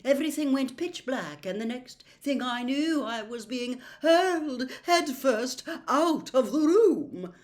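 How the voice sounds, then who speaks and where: A haughty older lady seer from a storybook. The voice sits high and shrill, and it drags words out very slowly with theatrical, mystical weight. She hems defensively, as if her dignity is at stake. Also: animated, darkish tone. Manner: shrill, drawn-out, dramatic, hesitant hemming.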